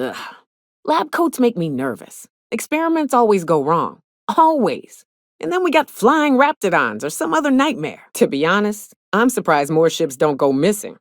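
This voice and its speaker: An actress playing a blunt, cynical frontier huntress and guide in a video game, with a wry, plainspoken, folksy way of talking. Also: female voice, on the low side, no echo, studio quality. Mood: disgusted, bitter, then wary, firm. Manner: wry, plainspoken, swearing, folksy rustic phrasing. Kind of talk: video game character dialogue.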